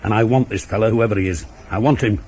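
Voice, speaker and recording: An actor playing a shrewd, dogged police inspector in a radio crime drama, with a terse, probing, ruminative manner. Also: male voice, lowish voice, fast, darkish tone, breathy edge. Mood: brooding, decisive.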